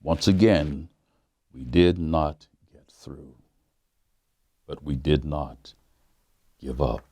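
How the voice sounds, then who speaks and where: Deep, slow pastor reading a storybook. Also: male voice, a little mellow, no echo. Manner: measured, emphatic, with dramatic pauses. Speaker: pastor reading a children's book. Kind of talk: storybook read-aloud for children.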